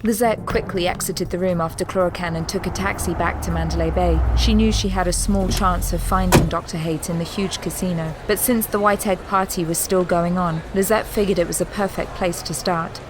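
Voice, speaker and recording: A woman's voice: fairly low pitch, fairly even intonation, brisk, hardly any pauses, over music. A woman narrator reading scripted dramatic narration for an audio drama.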